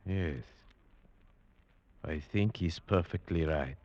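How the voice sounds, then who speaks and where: An actor playing a calm, analytical investigator, speaking in a measured, thoughtful way in 1940s radio drama style. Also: male voice, extremely low pitch, exaggerated voice. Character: calm, analytical male investigator narrating his tale. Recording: dull vintage radio recording with music.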